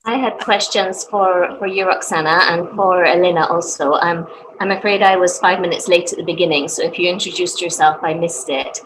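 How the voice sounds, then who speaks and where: A woman with a low, even voice politely asks questions. Clear background noise sits behind her audio, and she fills her pauses with "um". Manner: polite, many "um" fillers. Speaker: charity volunteer. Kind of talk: asking questions in a discussion. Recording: noisy background.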